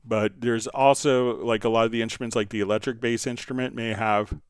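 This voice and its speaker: A man hosting a casual live music software tutorial, answering viewer questions in a halting, thinking-aloud way. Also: mid-range voice, fairly even intonation, clean tone.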